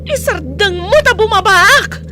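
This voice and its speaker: High-voiced, upset young woman. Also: expressive, a little slow. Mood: angry. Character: upset young woman, headstrong and hurt, pressing for marriage. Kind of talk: radio drama acting.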